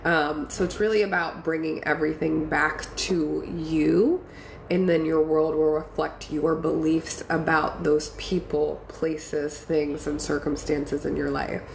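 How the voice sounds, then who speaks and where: A congested woman hosting a casual live stream, reading viewer comments and encouraging them warmly. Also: sits low, flat delivery, a little slow, creaky. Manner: encouraging, affirming, chatty with fillers. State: congested from a cold. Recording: echoey room with faint ambient noise.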